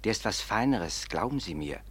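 An actor playing a simple, kindly prison warder in a 19th-century radio play, deferential, earnest and a bit halting. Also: male voice, fairly low pitch, lively intonation, a bit bright, husky. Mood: earnest, confiding.

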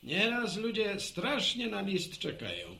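Bright-voiced chatty village postman. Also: sits high, sing-song, a little slow, creaky, exaggerated voice. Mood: friendly, modest. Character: modest, chatty village postman, war veteran storyteller. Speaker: radio drama actor. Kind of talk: Polish radio serial acting.

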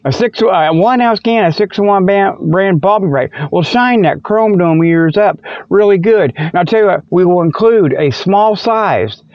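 A man's voice: high voice, measured pace, hardly any pauses, dry recording, dull audio. A man voicing a goofy, wisecracking cat who hosts a comedy radio show in a rambling, folksy, stumbling way. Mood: folksy pitch. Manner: rambling, folksy, stumbling, chuckles at own jokes. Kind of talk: comedic radio monologue with spoof ads.

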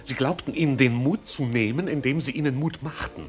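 An actor in a 1950s German radio play playing a fussy, pompous servant who speaks in stilted, formal, long-winded sentences. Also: male voice, medium pitch, fairly quick, lightly acted. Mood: gravely pompous. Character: pompous, fussy, devoted manservant of a marquis. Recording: 1950s radio recording with music.

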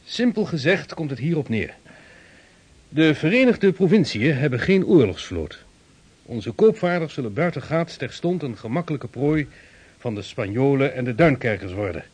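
A man's voice: medium pitch, expressive, normal speed, husky. An actor playing a formal, authoritative statesman in a period radio drama. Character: stately statesman of a young republic, adult man.

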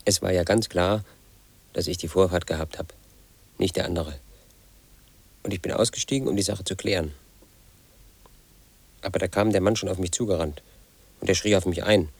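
A man's voice: low voice, normal speed, pauses often, dry recording, exaggerated voice. An actor playing a confused, paranoid man in his late thirties, telling a rambling radio play monologue. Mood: agitated. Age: middle-aged. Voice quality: gravelly, husky. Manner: rambling, confiding, sinks to a whisper. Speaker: lead actor.

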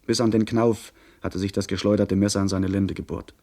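An actor playing a young gentleman who recounts a pirate adventure as the narrator of a radio play, in measured literary storytelling. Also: on the low side, little pitch movement, slight background noise, lightly acted. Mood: tense, narrating.

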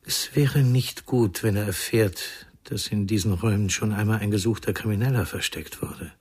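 A shady informer in a radio crime play. His lowish voice is extremely breathy and rough. He insinuates rather than states, keeping control with quiet menace. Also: normal speed. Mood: quietly menacing. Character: shady, insinuating informer, a man pursuing his own interests. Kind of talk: German radio crime drama dialogue.

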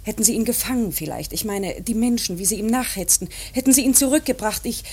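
An actress playing an earnest, troubled young woman in grief, halting and intense, in a German radio play. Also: fairly high pitch, warm tone, breathy edge. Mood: anguished. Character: earnest, troubled grieving daughter and sister.

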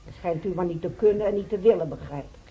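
An actress playing a peevish hospital patient in a radio play, grumbling with indignation and sarcasm. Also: female voice, fairly low pitch, quick pace, dark tone, husky, soft background music. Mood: offended muttering. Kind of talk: Dutch radio play acting. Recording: dull radio drama recording.

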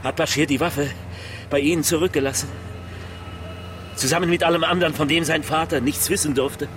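A man's voice: fairly high pitch, steady tone, a little fast, over music, close to an everyday voice. A man playing a persistent police detective in a German radio crime drama, reasoning and questioning insistently. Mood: urgent, placating.

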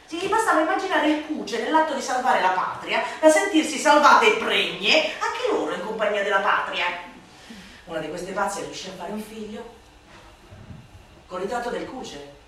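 A woman performing a satirical literary monologue for radio theatre in a declamatory, sardonic manner, swinging between hushed and shouted delivery. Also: on the high side. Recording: very echoey room, distant mic, faint noise.

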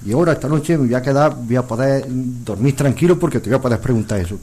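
A man's voice: mid-range voice, fast talker, warm tone, slightly rough. A man who presides over a football fan club, asking a friendly, rambling question on a radio show.

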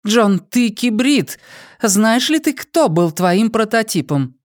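A man voicing an imperious noblewoman who interrogates a prisoner in an audiobook, with a sharp, commanding manner. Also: high voice, sing-song, takes it slowly, dry recording, clean studio sound, voice pushed up, full character voice. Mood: urgent, commanding. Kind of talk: audiobook character voice.

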